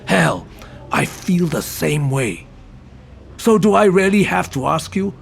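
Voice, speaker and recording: A man playing a gruff, blunt, foul-mouthed senior police detective with a gravelly voice in an audio drama. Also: a little high, sing-song, slow pace, heavily acted. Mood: intense, persuading. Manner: blunt, persuasive, intense.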